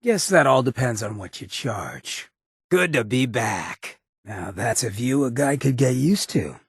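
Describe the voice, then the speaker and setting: An actor playing a swaggering, sardonic ghoul gangster companion in a video game, with a gravelly rasp and a wry, streetwise drawl. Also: male voice, mid-range voice, lively intonation, measured pace, no room sound, studio quality. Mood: easygoing, playful. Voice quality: gravelly, raspy.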